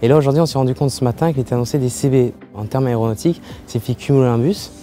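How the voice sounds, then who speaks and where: A young man from French Guiana, a pilot speaking earnestly in an interview, with pauses and fillers. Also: medium pitch, mellow, faint music behind. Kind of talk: interview testimony.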